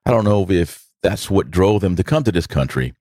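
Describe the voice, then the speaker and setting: An older man with a New York accent delivers a sarcastic opinion monologue. His voice sits low, and he swings it up and down a lot. It sounds close and dry. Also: animated, moderate pace, dark tone, no echo. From New York City. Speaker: podcast host.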